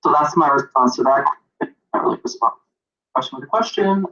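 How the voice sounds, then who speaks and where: A young man from Maine, a photographer and author, rambling in an animated, self-deprecating way as an interview guest on a video call. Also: on the high side, a little fast. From Maine, USA. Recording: muffled lo-fi video call audio.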